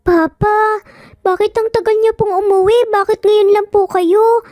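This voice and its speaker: A woman's voice: very high-pitched, steady tone, measured pace, warm tone, no echo, clean studio sound, soft background music, voice pushed up. A woman voicing a small, polite, pleading young boy with a childlike Filipino-accented voice in a story drama. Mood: tearful, pleading. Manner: pleading, respectful.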